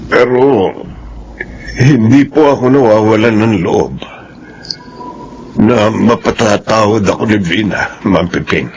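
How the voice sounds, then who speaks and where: A man's voice: on the low side, takes it slowly, long pauses, a little hiss or hum. An actor playing a remorseful, earnest father in a Filipino radio drama. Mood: pleading hope. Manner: regretful, earnest. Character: remorseful father who once fled his family.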